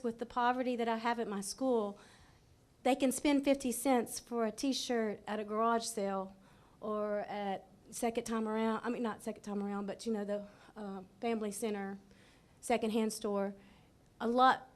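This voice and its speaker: Candid woman principal, higher voice. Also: moderate pace. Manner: candid, conversational, with fillers. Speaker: school principal. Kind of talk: board meeting discussion. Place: school board meeting room.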